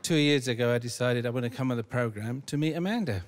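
A man, a contestant on a talent show stage, speaking hesitantly and haltingly. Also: medium pitch. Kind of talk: shy talent show audition. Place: large theatre stage.

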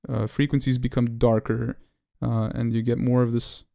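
A man presenting an unscripted software tutorial as its developer, explaining technical detail in a halting, hesitant way. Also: medium pitch, little pitch movement, gravelly.

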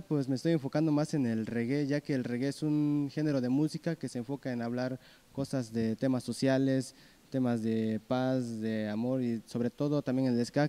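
Mellow-voiced young singer. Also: male voice, medium pitch. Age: 20s. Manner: relaxed, hesitant with fillers. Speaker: singer-songwriter guest. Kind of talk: casual interview answers. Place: small indoor room. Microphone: handheld mic.

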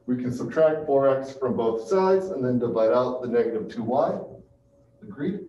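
A man in his thirties giving a math lecture in halting phrases, often checking in with his listeners, picked up by a distant camera mic in a classroom. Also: medium pitch, expressive, warm tone. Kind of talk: classroom calculus lecture, working through steps aloud. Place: small classroom with chalkboard and projector. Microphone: far camera. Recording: muffled, roomy, distant mic with hiss.

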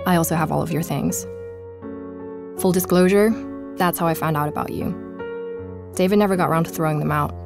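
A calm, determined woman journalist narrating her own investigation. Her voice is a little low for a woman and measured, with faint music beneath. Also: vocal fry. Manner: measured, inquisitive. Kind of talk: scripted podcast narration in audio drama.

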